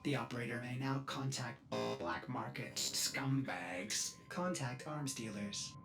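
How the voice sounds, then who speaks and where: Quirky male ship computer voiced by a man for a game: servile, formal, slightly snide, mid pitch, under loud music. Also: unhurried, close to an everyday voice. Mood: obliging, snide. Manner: formal third-person politeness with snide edges. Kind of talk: video game character acting.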